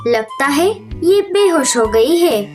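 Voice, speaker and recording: Woman playing a high-voiced scolding bird auntie. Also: animated, slow pace, a little mellow, faint music behind. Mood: smug. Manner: sassy, scolding. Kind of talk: Hindi children's cartoon dialogue.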